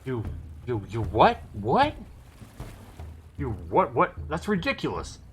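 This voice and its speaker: A man's voice: medium pitch, wildly swooping pitch, slow, slight background noise, voice pushed up. A man voicing a courteous elf who is indignant and sympathetic, reading visual novel game dialogue. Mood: outraged.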